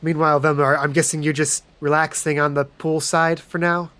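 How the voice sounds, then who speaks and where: Casting: male game master and podcast host, fairly high voice, casual conversational narrator. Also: level tone, a little fast, bright, forceful tone, clean tone.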